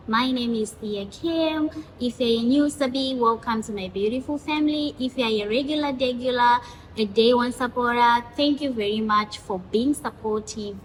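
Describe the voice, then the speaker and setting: A woman's voice: a little high, fairly bright tone. A woman hosting her own video channel, chatting casually and reading questions to a guest. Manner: chatty, asks questions from a list. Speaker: YouTube host. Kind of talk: casual vlog interview. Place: living in Thailand. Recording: echoey room with long reverb and noise.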